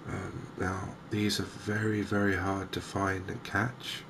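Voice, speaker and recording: A man giving softly spoken, gentle ASMR game commentary in an unhurried, hesitant way. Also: low-pitched, level tone, noisy background. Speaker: host of a cozy gaming channel.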